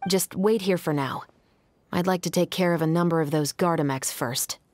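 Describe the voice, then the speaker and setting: A woman's voice: on the low side, moderate pace, a little mellow, soft background music, close to an everyday voice. A woman playing a dutiful, composed young musketeer captain with a formal, authoritative manner in an anime video game. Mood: resolute.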